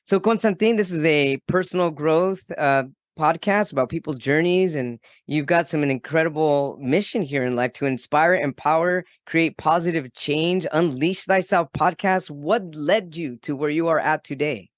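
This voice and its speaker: A man's voice: on the high side, normal speed, few pauses, dry recording, clean studio sound. Upbeat affirming male podcast host. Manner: enthusiastic and affirming. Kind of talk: motivational podcast hosting.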